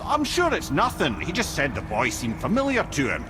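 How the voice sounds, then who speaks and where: An actor playing a witty, worldly old sage, telling tales in a theatrical, wry and lyrical way in a video game. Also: male voice, a little high, wildly swooping pitch, moderate pace, over music. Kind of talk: dramatic game storytelling.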